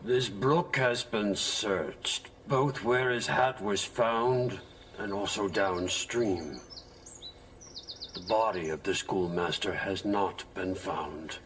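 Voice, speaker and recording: An actor playing a grave, solemn village reverend in a theatrical audio drama, speaking with deliberation. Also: male voice, lowish voice, takes it slowly, fairly bright tone, a little hiss or hum.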